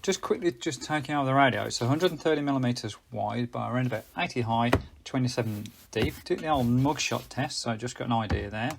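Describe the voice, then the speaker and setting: A man's voice: medium pitch, quick pace, gravelly, few pauses, noisy background. A man presenting a casual hands-on gadget review in a rambling way, often correcting himself and getting slightly tongue-tied.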